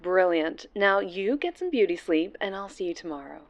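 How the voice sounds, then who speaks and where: A woman's voice: lowish voice, lively intonation, normal speed, lo-fi sound. A woman playing a cheerful, polished interview producer in an audio roleplay, bubbly and reassuring. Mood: friendly, reassuring.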